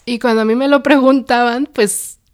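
A woman's voice: on the high side, steady tone, normal speed. A middle-aged woman with a Mexican accent talks reflectively and conversationally about herself, as in an interview. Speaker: independent publisher and illustrator. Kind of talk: personal interview narration.

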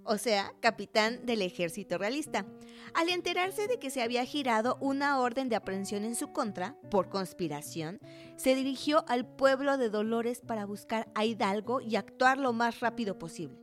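A woman's voice: fairly high pitch, lively intonation, moderate pace, faint music behind, lightly acted. An actress playing a knowledgeable, patient woman who explains history in a conversational, didactic radio drama dialogue. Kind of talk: scripted dialogue narration.

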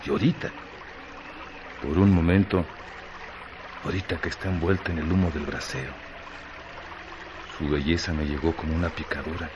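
An actor playing a bored, scornful, domineering young Mexican rancher in a radio drama, with colloquial, brooding speech. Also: male voice, very deep voice, steady tone, normal speed, pauses often. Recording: clearly noisy radio drama track.

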